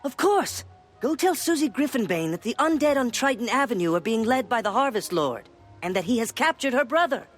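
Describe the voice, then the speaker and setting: Very high-pitched, eager young male wizard. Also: fairly quick, faint music behind, exaggerated voice. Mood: excited. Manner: animated, bookish, expressive. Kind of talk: video game character acting.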